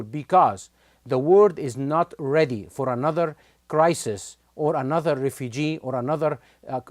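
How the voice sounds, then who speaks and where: A man's voice: a little high, darkish tone, dry recording, clean studio sound. A man from Egypt with an Egyptian accent, hosting a political commentary show and speaking earnestly and urgently, with frequent filler words. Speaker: host.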